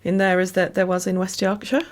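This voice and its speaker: A woman's voice: medium pitch, little pitch movement, fairly quick. A woman hosting a conversational radio interview and chatting with her guest.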